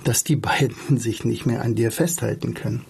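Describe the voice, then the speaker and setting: A man playing an encouraging, husky storybook butterfly. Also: medium pitch, fairly even intonation, moderate pace, a little mellow. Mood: encouraging, brisk. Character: wise, encouraging butterfly giving brisk friendly advice. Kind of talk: storybook reading.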